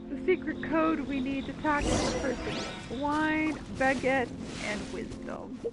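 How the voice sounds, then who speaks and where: A woman's voice: high-pitched, measured pace. A woman giving casual, joking commentary as she plays a video game, quippy and sarcastic. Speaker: game streamer commenting on play. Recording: dull mic with game music behind.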